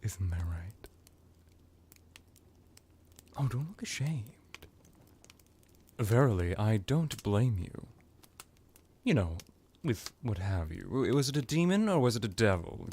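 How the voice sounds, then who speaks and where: A man voicing an ancient, smug vampire crime lord in an ASMR roleplay, drawling with theatrical pauses and sarcastic condescension. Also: on the low side, lively intonation, a little mellow. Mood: smug, menacing, then teasing, condescending.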